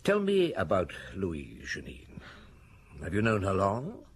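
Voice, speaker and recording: A seasoned French police chief in a radio mystery. His voice sits fairly low and moves expressively through dry, probing, authoritative lines. There is faint noise behind him. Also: wildly swooping pitch, heavily acted. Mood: calm questioning. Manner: measured, dry, authoritative questioning. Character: seasoned French chief inspector, male, wry, commanding, probing. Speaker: actor playing detective and narrator. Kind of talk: radio drama acting with first-person narration.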